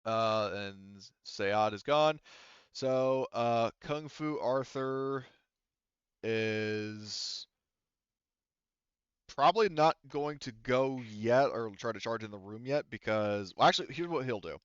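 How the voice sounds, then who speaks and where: A man's voice: mid-range voice, slow, clear, resonant tone, no room sound. A man speaking casually and profanely as game master of a tabletop role-playing game, in slightly muffled audio.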